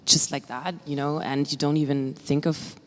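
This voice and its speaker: Low-voiced woman in a reverberant hall. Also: flat delivery, normal speed. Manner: casual, agreeable, many fillers like "um" and "like". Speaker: discussion participant. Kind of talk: reflective group conversation. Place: exhibition hall in a museum.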